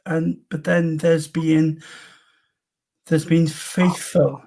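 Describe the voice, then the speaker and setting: A man talks in an unhurried drawl, his voice rough and gravelly. He chats casually with a friend, emphatic and swearing freely. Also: a little high, flat delivery, mellow. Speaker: guest. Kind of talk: casual chat.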